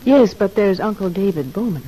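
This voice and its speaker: A woman's voice: medium pitch, lively intonation, normal speed, mellow, husky, low-quality recording. An actress playing a scheming, short-tempered young woman in prison, with a curt, defensive manner, in an old-time radio drama. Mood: defensive, calculating.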